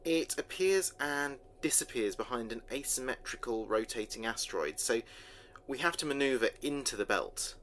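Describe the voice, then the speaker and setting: Earnest spaceship officer played by a man, lively intonation. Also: mid-range voice, normal speed, close to an everyday voice. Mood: serious, uneasy. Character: young-ish male space communications officer, earnest and measured. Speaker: communications officer keeping a mission log. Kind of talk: audio drama log narration.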